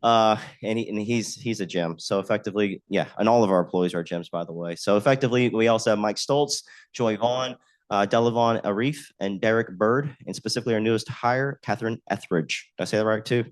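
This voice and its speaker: A man giving a presentation at a podium, a bit hoarse from a cold, rambling with filler words like effectively. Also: on the low side, fairly quick. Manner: rambling, leans on "effectively" and "specifically". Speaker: state aviation manager presenting. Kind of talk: conference presentation. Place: meeting room at a podium. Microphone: podium mic.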